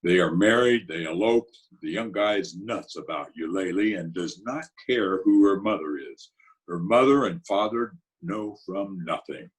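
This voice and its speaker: A man's voice: low-pitched, animated, unhurried, rough recording. A man playing a blunt, commanding New York tough guy in an old-time radio play, speaking in formal gangster slang. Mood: matter-of-fact.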